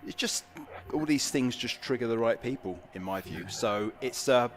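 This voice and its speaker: A man talking in a casual group chat on a stream, hesitant and halting, full of fillers and restarts. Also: mid-range voice, animated, slight background noise. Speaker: stream guest.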